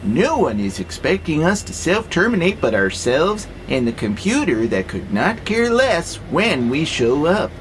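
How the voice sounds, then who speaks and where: Man as a wry, peaceable robot, expressive pitch. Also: a little slow, hardly any pauses, a little hiss or hum, rough recording. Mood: persuasive. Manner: calmly persuasive, playfully sarcastic. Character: gentle, wry, philosophical male freight-hauling robot, peaceable. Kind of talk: audio drama acting.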